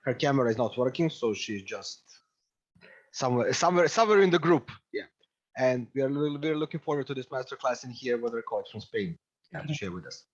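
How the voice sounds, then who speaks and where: A man from Serbia with a Serbian accent speaks with a husky voice over a dull, lo-fi video call. He introduces himself as a project partner in a relaxed, informal manner. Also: mid-range voice, fairly quick. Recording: dull lo-fi audio.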